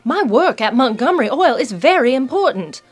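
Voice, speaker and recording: Woman as a high-voiced, proud, defiant heiress. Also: big pitch swings, moderate pace, husky, faint music behind, heavily acted. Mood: hurt defiance. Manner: earnest, defensive, emphatic. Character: proud, defiant oil heiress, torn by family duty. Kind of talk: melodramatic audio drama acting.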